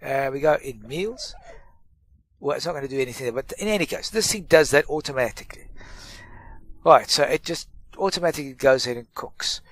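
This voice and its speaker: A man's voice: mid-range voice, lively intonation, a little fast, a bit bright, no room sound. A middle-aged man talks through a game tutorial with a stuffy nose from a cold. He rambles cheerfully and jokes at his own expense, in a mid-range voice. The recording is dry and close, with no room sound. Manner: chatty, self-mocking, rambling asides. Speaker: gaming channel host. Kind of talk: casual gameplay tutorial.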